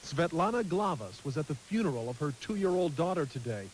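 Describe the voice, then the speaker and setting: A male television reporter delivering a formal, measured news package. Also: on the high side, sing-song, slight background noise, poor audio.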